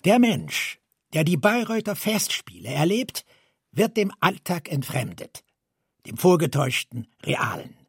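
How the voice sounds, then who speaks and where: A man's voice: a little high, huge pitch swings, a little slow, slightly rough, no echo, studio quality. A man reading scripted literary prose as a narrator.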